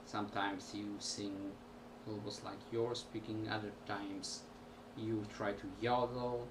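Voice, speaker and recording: A man, a musician, talking casually to camera at home in a halting way with many pauses. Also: on the low side, flat delivery. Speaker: musician vlogger. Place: small room at home. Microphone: built-in camera mic. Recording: distant home camera audio with background noise.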